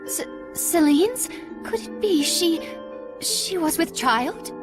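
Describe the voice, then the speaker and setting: A woman's voice: extremely high pitch, lively intonation, slow, heavily acted. A woman playing an earnest, desperate young woman in a video game, with urgent, pleading and formal speech. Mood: grave, urgent. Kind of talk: dramatic video game voice acting. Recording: music under the voice.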